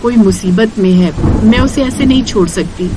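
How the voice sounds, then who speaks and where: A woman voicing a kind, hardworking mother who runs a food stall in an animated moral story, caring and reassuring. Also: a little high, normal speed, noisy background, close to an everyday voice. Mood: concerned.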